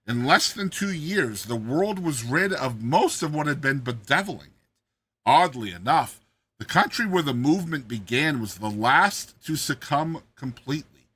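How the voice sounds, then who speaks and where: A man narrating a short story in a theatrical, expressive, dramatized reading style. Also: medium pitch, breathy edge. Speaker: narrator, host of a reading series.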